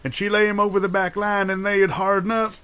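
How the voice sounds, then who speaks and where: A man's voice: high voice, level tone, fairly quick, sharp tone, smooth. A man playing an ancient, rambling, bossy grandfather with folksy old-timer talk in improvised audio comedy. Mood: folksy reminiscing.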